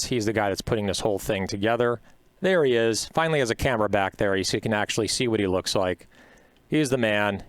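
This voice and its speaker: A man hosts a podcast from a studio, chatty and upbeat, with plenty of uhs. His voice sits low and has a smooth, resonant tone. The recording is close and dry. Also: no room sound. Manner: chatty, upbeat, filler-heavy. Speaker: podcast host. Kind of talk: podcast interview.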